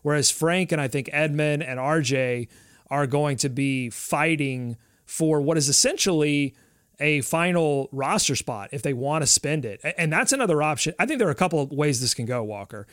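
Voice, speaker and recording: A man's voice: a little high, lively intonation, normal speed, fairly bright tone, no echo, clean studio sound. A man co-hosting a sports podcast in casual discussion, conversationally thinking aloud as he talks.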